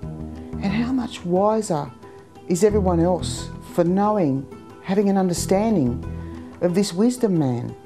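A woman's voice: lowish voice, expressive, a little slow, over music. A woman speaking earnestly in a documentary interview, seated indoors.

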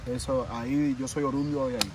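A man's voice: mid-range voice, steady tone, fairly quick, recorded from a distance, background noise. A Dominican man with a Dominican Spanish accent, speaking earnestly in a casual interview and often repeating himself. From Dominican Republic. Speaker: interview guest, vodou practitioner.

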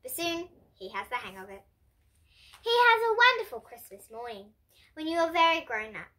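A young girl reads a storybook to other children. Her voice is high and bright, and it rises and falls in a very animated way. She tells it expressively, with the odd stumble over a word. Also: normal speed. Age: child, about 8 to 11. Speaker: host and reader of children's bedtime books. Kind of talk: reading a picture book aloud for children. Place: indoor room with bookshelves.

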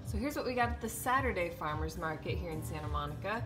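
A woman's voice: on the low side, expressive, fast, loud music behind the voice. A young woman narrating a casual travel vlog, upbeat and gushing about food and places, filming herself at arm's length. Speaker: vlogger. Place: outdoors and in restaurants, phone at arm's length.

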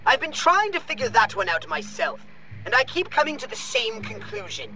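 A man's voice: very high-pitched, huge pitch swings, normal speed, bright, forceful tone, faint music behind, full character voice. A man voicing an irritable, scheming alien commander in a video game, pompous and exasperated, with precise, sarcastic wording. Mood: grave, explanatory. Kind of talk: video game cutscene acting.

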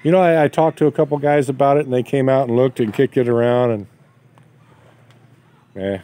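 A man's voice: medium pitch, fairly even intonation, brisk, warm tone, clear, resonant tone. A middle-aged or older man in casual conversation, telling things in a folksy, rambling way. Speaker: vlogger, expatriate farmer. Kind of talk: casual chat. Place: outdoors at a waterside building site. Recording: noisy outdoor recording, ambient background noise.

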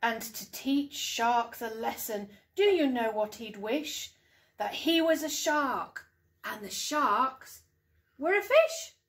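A middle-aged woman reads a picture book to young children, expressively and deliberately, stressing key words, in a room at home. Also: a little high, expressive, a bit bright. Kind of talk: storybook read-aloud for young children. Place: small living room at home.

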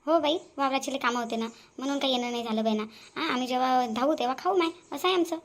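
A woman as a high-voiced humble village grandmother. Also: fast talker, natural voice. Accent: Vidarbha Marathi (Varhadi). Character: humble, plain-spoken poor farming grandmother, visiting in-law. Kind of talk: cartoon family drama dialogue.

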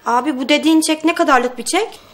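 A woman's voice: high voice, fast, lightly acted. A young woman playing an eager, nosy sister in a TV comedy-drama. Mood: excited.

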